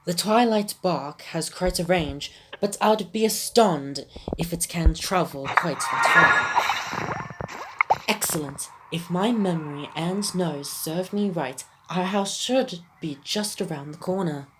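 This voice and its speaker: A woman's voice: fairly low pitch, lively intonation, slow pace, very noisy. A woman reading a devoted Dalmatian dad, phlegmy. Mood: earnest. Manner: reads game dialogue aloud in a plain voice. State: phlegmy throat from illness. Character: proper, devoted male Dalmatian father.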